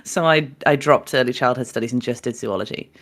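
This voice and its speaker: A young woman from England co-hosting a casual podcast, talking loudly and excitably, set farther from the mic than her co-host. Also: a bass voice, brisk. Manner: loud, excitable, with frequent laughs.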